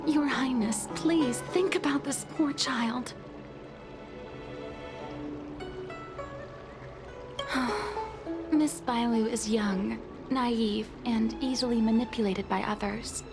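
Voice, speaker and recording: A woman playing an earnest, dutiful maid in a video game, speaking formally and deferentially in measured phrases. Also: fairly high pitch, fairly even intonation. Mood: pleading, worried. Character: earnest, dutiful maid pleading for her young mistress. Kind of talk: dramatic video game voice acting. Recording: music behind the voice.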